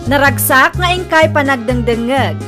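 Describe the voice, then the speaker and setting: A woman's voice: high-pitched, normal speed. A woman announcer reading a scripted introduction to a radio drama program. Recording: loud music under the voice. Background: loud music.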